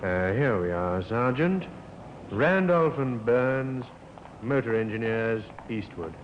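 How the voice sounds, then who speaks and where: A businesslike inspector in a vintage radio crime play. He speaks with clipped authority in a medium-pitched voice, on a dull recording with clear noise behind it. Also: lively intonation, takes it slowly. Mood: brisk, businesslike. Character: firm, businesslike male Scotland Yard inspector. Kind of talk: old radio crime drama acting. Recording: noisy, muffled old radio recording.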